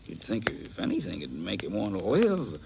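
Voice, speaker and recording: A man's voice: on the low side, wildly swooping pitch, normal speed, creaky, heavily acted. An actor playing an earnest, flustered frontier townsman in a 1950s radio western, stumbling and repeating himself in folksy speech. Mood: troubled, puzzled. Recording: noisy old radio recording.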